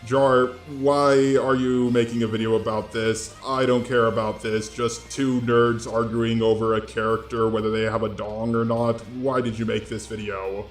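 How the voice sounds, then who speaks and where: A man hosts a scripted commentary video in an informal, blunt style, addressing his audience directly. His medium-pitched voice has a roomy echo, and music plays faintly beneath it. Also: flat delivery, normal speed, few pauses. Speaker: host of online drama commentary videos.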